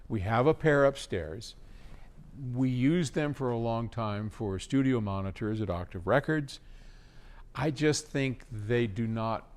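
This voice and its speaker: A man hosting a question-and-answer talk, speaking in an informal, conversational way as he gives advice. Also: medium pitch. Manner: conversational, informal, with frequent throat clearing. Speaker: host answering listener questions.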